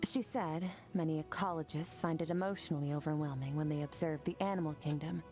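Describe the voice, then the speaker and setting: An actress playing an aloof, stoic young swordswoman in a video game, speaking in a cool, measured, detached way. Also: sits low, fairly even intonation, a little mellow, close to an everyday voice. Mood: calm, reflective. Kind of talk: scripted video game character monologue. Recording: game audio with music underneath.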